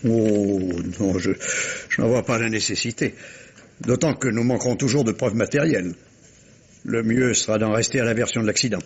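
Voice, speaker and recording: An actor playing a wry police inspector in a vintage French radio mystery, measured and theatrical, explaining a case with teasing rhetorical questions. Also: male voice, lowish voice, husky. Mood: measured, reassuring. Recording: dull, muffled vintage radio recording.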